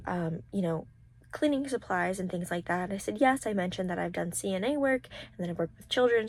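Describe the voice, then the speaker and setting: A young adult woman giving a casual vlog-style recap, talking in an informal, halting and rambling way. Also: mid-range voice. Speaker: vlogger, college program applicant.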